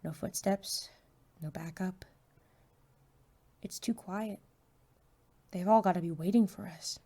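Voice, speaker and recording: A woman's voice: lowish voice, takes it slowly, warm tone, slightly rough, heavily acted. A woman playing a young superhero sidekick in an audio drama, nervous but eager, speaking dialogue and first-person narration. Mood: tense, hushed.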